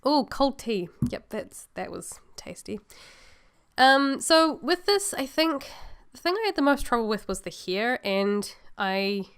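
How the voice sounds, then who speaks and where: A woman's voice: fairly high pitch, big pitch swings, measured pace, fairly bright tone, clean tone. Nasal New woman with a cold. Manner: chatty, rambling and upbeat. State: sore throat. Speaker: illustrator vlogger. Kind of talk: casual voice-over chat to viewers.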